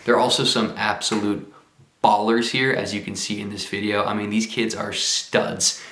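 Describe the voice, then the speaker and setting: Excited man vlogging in an echoey room. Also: on the low side, normal speed. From United States. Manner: enthusiastic, exclamatory, chatty. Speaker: travel vlogger. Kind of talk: casual travel vlog talk to camera. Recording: roomy echo.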